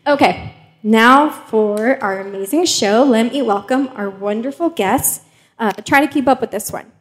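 Chatty woman with slightly high voice. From Mexico. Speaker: host and journalist. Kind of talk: casual live panel hosting.

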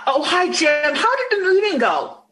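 Sweet supportive 50s mom in a radio play, high voice, very animated. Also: female voice, normal speed. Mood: cheerful, supportive. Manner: bright-spirited, encouraging, affectionate. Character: warm, supportive 1950s housewife and mother. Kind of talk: old-time radio drama acting.

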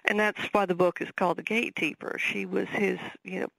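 A woman with a Southern accent talks through her answers as a radio guest, pausing often with fillers and restarting her sentences. Her voice has a gravelly edge, recorded dry and close. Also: mid-range voice, steady tone, a bit bright, no echo, low-quality recording. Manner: thoughtful, many fillers and restarts. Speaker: author guest. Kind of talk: radio interview.

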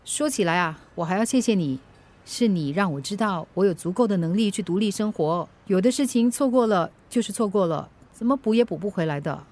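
A woman's voice: mid-range voice, animated, fairly quick, a little mellow, close to an everyday voice. An actress playing an independent middle-aged ex-wife and mother in a radio drama, frank and chiding, firm but caring. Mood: resigned, firm. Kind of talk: Chinese radio drama acting.

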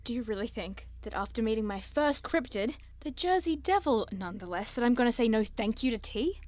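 A woman's voice: fairly high pitch, lively intonation, fairly quick, darkish tone, dry recording, natural voice. A woman playing an eager, starstruck young monster enthusiast in an audio drama, earnest, polite and gushing.

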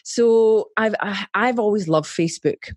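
A woman's voice: medium pitch, measured pace, a little mellow, no echo, studio quality. A Scottish woman, a marketing strategist, chatting as a guest on a podcast in an upbeat way and laughing easily. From Scotland. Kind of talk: casual interview chat.

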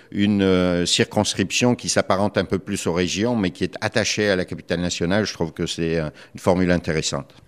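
A middle-aged man from France with a French accent, answering interview questions as a politician in a measured, hesitant, careful way. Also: low voice. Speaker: politician, member of the Quebec legislature.

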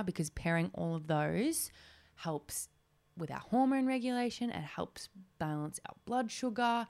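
Chatty young woman, low voice. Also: a little mellow. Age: 20s. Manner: chatty, rambling, many "like"s. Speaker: podcast host. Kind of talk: solo podcast chat.

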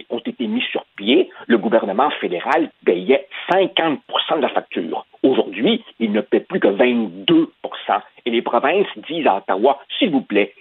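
A man's voice: on the high side, creaky, slightly rough, dry recording. A man, giving political commentary in an emphatic, repetitive way over the phone. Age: 50s. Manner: emphatic, repeats words, addresses host by name. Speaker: commentator guest. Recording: tinny phone line.

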